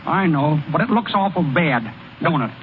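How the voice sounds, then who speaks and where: Casting: male actor as guileless American hayseed pitcher, high and very animated, halting folksy talk, vintage radio play. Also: narrow, tinny audio, close to an everyday voice. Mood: distraught. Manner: folksy, ungrammatical, hesitant stammering speech. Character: naive, boastful-turned-dejected hayseed male baseball pitcher. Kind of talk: old-time American radio drama acting. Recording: thin, noisy vintage radio broadcast recording.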